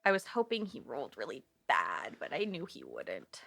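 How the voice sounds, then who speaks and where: A woman chatting casually as a player on a tabletop game podcast, animated, slangy and jokey. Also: very deep voice, normal speed, gravelly, breathy edge. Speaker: player on an actual-play podcast.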